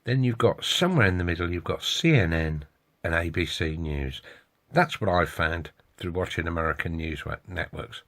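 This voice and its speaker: A man's voice: low voice, expressive, normal speed, fairly bright tone. A British man giving a casual, opinionated monologue as a hobbyist vlogger.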